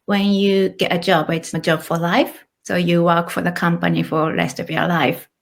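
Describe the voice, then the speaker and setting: A woman in her thirties with a Japanese accent, talking as a guest in a relaxed interview. Her voice sits a little low for a woman. She thinks aloud with small restarts. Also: moderate pace, gravelly. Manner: thoughtful, with small restarts and light laughs. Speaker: guest, author and illustrator. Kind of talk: casual interview conversation.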